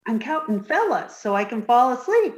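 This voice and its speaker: A woman's voice: a little high, sing-song, moderate pace, reverberant. A woman voicing a childlike, dim, easily frightened young woman in a radio comedy. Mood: naive, earnest. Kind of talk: 1950s radio sitcom reading.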